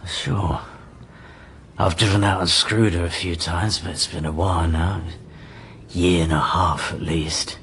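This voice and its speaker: A bitter, menacing drinker with a low voice in a radio crime drama. He talks bluntly and coarsely, like someone with a violent temper, and there is faint noise behind him. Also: fairly even intonation, a little slow, a bit bright. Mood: sullen, menacing. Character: bitter, hard-drinking violent male ex-husband, sacked captain.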